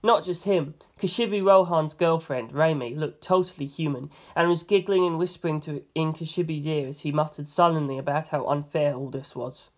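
Amateur audiobook narrator, medium pitch, informal and expressive first-person storytelling. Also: moderate pace, few pauses. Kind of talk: reading a novel aloud as first-person narration.

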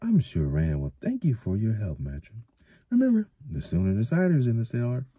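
A calm, steady farmer who speaks briskly and plainly, as a male narrator voices him. His tone stays low-key and warm. It sounds husky and dry. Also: no room sound, exaggerated voice. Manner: brisk, level.

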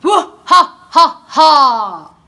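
A woman voicing a boastful male crocodile who loves being scary, with slow, booming boasts. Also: big pitch swings, creaky, sharp tone, full character voice.

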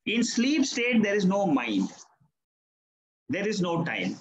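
A man's voice: high voice, wildly swooping pitch, slow pace, reverberant, highs cut off, slight background noise. An older man, a Vedanta teacher, patiently explaining answers to a student in an online class. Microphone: video call.

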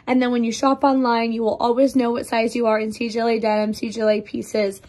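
A young woman with a slightly raspy voice from losing it, chatting bubbly to viewers in a try-on vlog, filmed on a phone in a mirror in a bright living room. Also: fairly high pitch, flat delivery, fast. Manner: bubbly and chatty, addressing viewers as you guys. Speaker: clothing brand try-on host. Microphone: phone held up for a mirror selfie.

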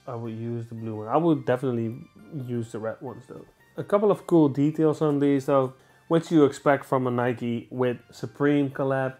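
A man in his twenties with a Dutch accent, talking casually and excitedly about a new pair of sneakers in a small room. He rambles, restarts his sentences and throws in a lot of fillers. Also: medium pitch. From Netherlands. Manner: chatty, enthusiastic, rambling with restarts. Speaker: sneaker vlogger. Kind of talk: casual unboxing review. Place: small indoor room, seated on a sofa.

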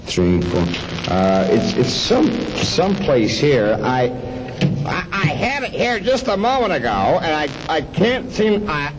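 A high-pitched man works as a morning radio DJ in 1970s AM style. His delivery is jokey and mock-bumbling and swings widely in pitch, with music behind him on an old aircheck recording. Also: huge pitch swings, slow pace, poor audio. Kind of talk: 1970s AM radio DJ patter and sponsor reads.

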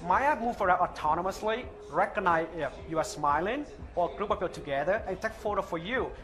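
A man in his thirties with a thick Vietnamese accent, earnestly pitching a product live on stage on a headset mic. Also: animated. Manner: earnest, halting non-native phrasing. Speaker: startup founder pitching. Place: open-air stage at a trade show.

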